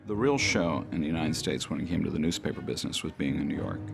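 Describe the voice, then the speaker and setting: A man's voice: a bass voice, fast talker, vocal fry, over music. A man explaining history in a storytelling style as a documentary commentator.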